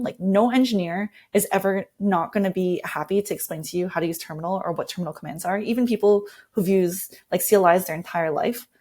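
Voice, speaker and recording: A woman's voice: mid-range voice, darkish tone. Woman explaining things conversationally. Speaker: interview guest, design lead. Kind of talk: conversational explanation, tips walkthrough.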